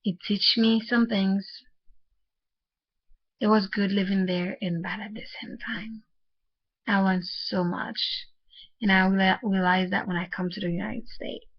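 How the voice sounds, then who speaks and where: A young woman from Haiti telling her life story in a vlog, halting and soft-spoken, with many fillers and restarts. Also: medium pitch, steady tone, husky. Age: young adult. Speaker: vlogger. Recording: muffled lo-fi audio.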